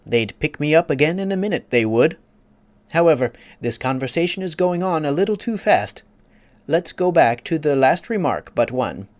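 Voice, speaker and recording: A man's voice: fairly high pitch, very animated, darkish tone, no echo, voice pushed up. A man voicing a pompous, easily offended egg creature who lectures and takes offense. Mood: lecturing. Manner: haughty, quick to take offense, lecturing.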